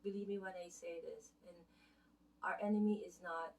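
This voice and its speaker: A woman's voice: on the low side, steady tone, normal speed, darkish tone. A woman with a Filipino accent giving casual spiritual life advice in a rambling way, often checking in with "right?" between her points. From Philippines. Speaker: vlogger. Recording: voice from a distance off the mic.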